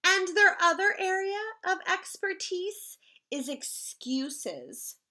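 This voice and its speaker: A woman reading a picture book aloud in the manner of a teacher, expressive and explaining things simply to children. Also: very high-pitched, takes it slowly. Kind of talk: animated children's read-aloud with asides to the listeners.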